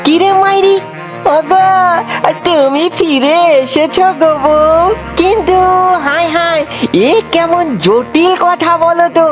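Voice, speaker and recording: A performer voicing a gullible, excitable male fox husband in a comic cartoon, exclaiming and repeating words in a sing-song way. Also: extremely high pitch, slow pace, music in the background, low-quality recording. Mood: bewildered.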